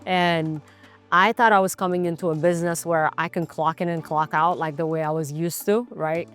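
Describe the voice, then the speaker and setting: Woman, thirties, Ethiopian accent, lowish voice, conversational interview storytelling, faint music behind. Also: fairly even intonation, moderate pace, clear, resonant tone. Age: 30s. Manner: conversational, often tagging statements with "right?". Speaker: insurance agency owner, interview guest.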